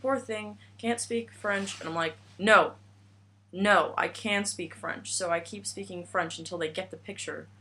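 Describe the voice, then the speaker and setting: A woman's voice: mid-range voice, measured pace, sharp tone. A young American woman telling a rambling travel story in a casual vlog, full of fillers and restarts. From United States. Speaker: vlogger.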